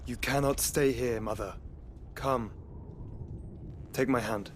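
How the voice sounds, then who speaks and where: An actor playing an earnest, resolute young nobleman in a fantasy game, speaking gravely in short formal phrases. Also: medium pitch, fairly even intonation, takes it slowly, background noise, lightly acted. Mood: pleading, gentle. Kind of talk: dramatic fantasy game acting.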